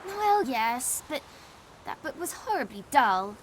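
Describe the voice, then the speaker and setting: A woman's voice: high-pitched, slow pace, slight background noise, exaggerated voice. A cheeky young witcher trainee. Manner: cheeky, lively, playful.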